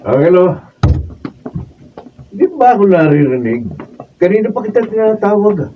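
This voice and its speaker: An actor playing an aggressive young rival in a Filipino radio drama, taunting and goading. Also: male voice, high voice, big pitch swings, normal speed, distant mic. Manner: belligerent, goading. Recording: dull off-mic sound, faint noise.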